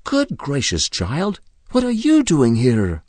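A man reading aloud and voicing a young woman in pain, speaking softly and ruefully. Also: medium pitch, wildly swooping pitch, slow, dry recording, pitched above the performer's own voice, heavily acted. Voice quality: soft, pained.

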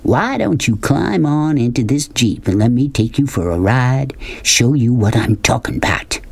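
An audiobook narrator voicing a large, loud Southern man, jovial and bossy, with a folksy, hollering manner. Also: mid-range voice, lively intonation, gravelly, slightly rough. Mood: sly, coaxing. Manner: hollering, folksy rural speech, rapid-fire questions. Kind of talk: audiobook character dialogue.

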